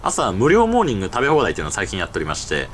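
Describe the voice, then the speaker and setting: Young guy vlogging on a trip, talks fast n bouncy, explains every little cost, camera mic w faint background noise. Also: lowish voice, wildly swooping pitch, fairly bright tone. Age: young adult. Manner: chatty, explains costs and rules in detail. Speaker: travel vlogger. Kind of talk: casual travel vlog narration. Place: outdoors at night, then an internet cafe booth.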